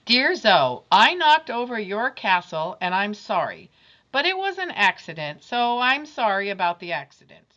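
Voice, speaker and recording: A woman reading aloud the apology notes of a grudging young schoolboy, voicing them a little louder than her narration. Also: medium pitch, big pitch swings, moderate pace, lo-fi sound. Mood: earnest.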